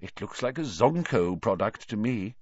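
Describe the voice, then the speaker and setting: A man reading, voicing a calm, gentle male professor who speaks mildly and evenly. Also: on the low side, husky, dry recording, lo-fi sound. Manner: mild, measured, soft-spoken.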